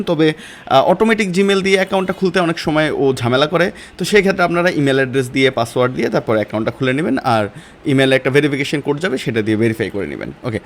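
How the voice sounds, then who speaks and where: A man with a Bangladeshi accent teaching a step-by-step software lesson in informal, colloquial speech. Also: a little high. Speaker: online course instructor.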